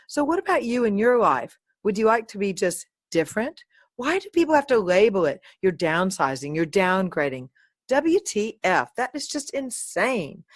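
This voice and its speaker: A woman hosting her own podcast, talking in a playful, animated and uninhibited way, close to the mic. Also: mid-range voice, no room sound. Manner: playful, animated, sings and makes silly sounds; uninhibited, swears. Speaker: podcast host. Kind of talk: solo podcast chat.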